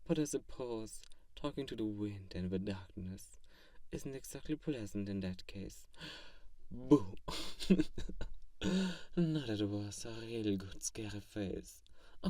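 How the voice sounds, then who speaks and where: A haughty male vampire played by a man speaks slowly in a roomy, echoing space. His delivery is theatrical and teasing, with whispery asides and a kinder streak beneath the arrogance, as in an ASMR roleplay. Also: fairly low pitch, darkish tone. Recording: roomy echo, distant from mic.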